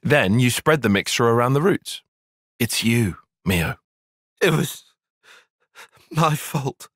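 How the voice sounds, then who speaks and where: A man's voice: medium pitch, expressive, slow, a bit bright, no echo, clean studio sound. A man playing an earnest, analytical young soldier in a fantasy video game, speaking with precise, formal phrasing. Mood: subdued. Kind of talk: video game cutscene acting.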